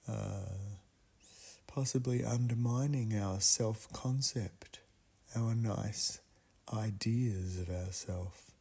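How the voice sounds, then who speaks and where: A man with a husky, gravelly voice narrating a guided meditation ritual in a solemn, deliberate way, leaving long pauses between short phrases. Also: fairly low pitch, darkish tone.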